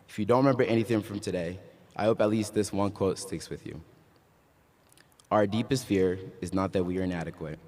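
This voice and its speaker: A young man from Ghana speaking at his graduation, his voice very low and set in the long reverb of a large hall. He mixes casual jokes with earnest encouragement. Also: darkish tone. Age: young adult. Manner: casual joking, then earnest and uplifting. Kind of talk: commencement speech.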